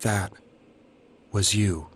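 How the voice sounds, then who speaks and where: An actor playing an earnest, guilt-ridden delivery man in a video game, speaking in a halting, solemn, confessional way. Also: fairly low pitch, fairly even intonation, husky, full character voice. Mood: somber, reflective. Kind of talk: dramatic video game voice acting. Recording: music behind the voice.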